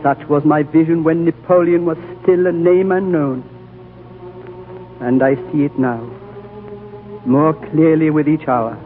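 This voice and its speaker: An actor playing an ancient, wise and gentle high lama with a solemn, fatherly, oratorical manner, in the style of 1940s radio drama. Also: fairly even intonation, unhurried, long pauses, lightly acted. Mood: prophetic, solemn. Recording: tinny 1940s radio recording over music.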